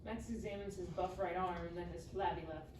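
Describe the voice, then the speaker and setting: Lowish-voiced woman reading screenplay directions. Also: fairly even intonation, fairly quick, a little mellow. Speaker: stage-direction narrator. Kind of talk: scripted screenplay narration, live table read. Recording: roomy, distant mic, clearly noisy.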